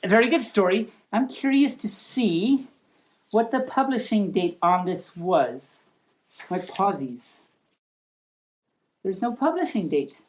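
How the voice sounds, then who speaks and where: A woman's voice: medium pitch, expressive, slow pace, pauses often, low-quality recording. A woman reading a picture book aloud informally, playful and rambling, with easily sidetracked asides.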